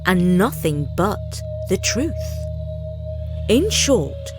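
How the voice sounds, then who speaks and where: Female bedtime storyteller: lively intonation, unhurried deliberate pace, reading for children. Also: mid-range voice, over music.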